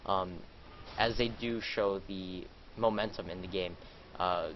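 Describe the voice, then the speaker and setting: A man casting a video game live, sounding nasal and a little stuffed up. He rambles with lots of fillers and gets louder during the fights. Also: low voice, fairly even intonation, measured pace, muffled recording, slight background noise. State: congested, a little sick. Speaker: esports caster.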